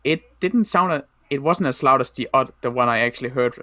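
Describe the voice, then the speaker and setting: Guy playing a cautious military survivor in a zombie game, bright dry voice, keeps restarting his sentences. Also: mid-range voice, no echo, lightly acted. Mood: cautious, serious. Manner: halting, restarts and rephrases often. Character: battle-worn male ex-military zombie apocalypse survivor, cautious marksman. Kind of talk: improvised Minecraft zombie roleplay.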